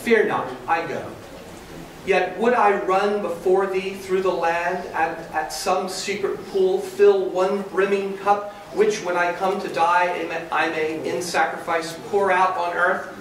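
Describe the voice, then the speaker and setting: A man plays a proud, defiant pagan priest in a verse drama. His somewhat high voice declaims archaic lines, heard from a distance in a heavily echoing room with faint noise. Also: steady tone, a little slow. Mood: fervent, scornful. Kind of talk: staged dramatic reading. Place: hotel conference room, indoors. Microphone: camera across the room.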